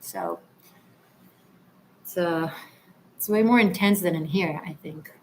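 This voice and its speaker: Woman from Israel, livestream host, laid-back rambling chat, lowish voice. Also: takes it slowly, a little hiss or hum, poor audio. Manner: relaxed, chatty, with frequent restarts and soft trailing asides. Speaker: livestream host, tarot reader. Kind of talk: casual livestream chat reading comments.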